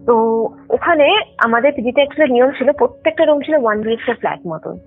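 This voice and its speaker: A woman's voice: high-pitched, animated, a little fast, husky. A young woman tells a personal story casually over the phone, explaining details and mixing in English words. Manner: explanatory, mixes in English words, often adds check-in tags. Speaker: caller telling a personal ghost experience. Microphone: phone line. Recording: tinny phone call with music underneath. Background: background music.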